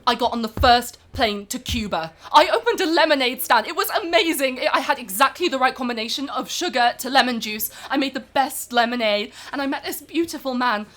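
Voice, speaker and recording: A woman's voice: high-pitched, expressive, brisk, hardly any pauses, fairly bright tone. A woman playing a pampered, overworked film star being interviewed in a comedy podcast. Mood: exasperated, frantic. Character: pampered, overworked film star who cracks under press tour. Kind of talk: improvised-sounding comedy podcast interview acting.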